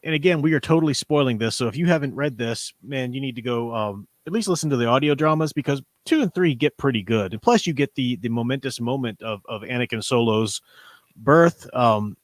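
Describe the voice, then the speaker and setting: A man's voice: mid-range voice, brisk, dry recording. A man hosting a livestream, talking casually about comics in a rambling, hedging way. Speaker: livestream host.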